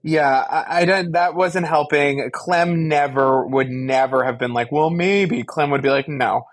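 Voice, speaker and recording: Wry man with a lightly high voice. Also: measured pace, no echo, studio quality. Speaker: podcast co-host. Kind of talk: casual fan discussion.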